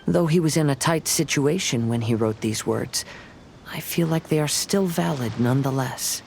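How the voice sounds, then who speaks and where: A man playing a gentle, reflective young wandering samurai in a video game, speaking in a measured way. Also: medium pitch, gravelly, a little hiss or hum, natural voice. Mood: earnest, reflective. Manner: calm, measured, contemplative. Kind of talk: scripted video game dialogue. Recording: loud music under the voice.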